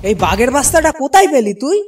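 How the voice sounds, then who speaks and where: A man's voice: very high-pitched, very animated, very fast, far from the mic, soft background music. A man playing a stern, alarmed village father in a Bengali cartoon, scolding and insistent. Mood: accusing.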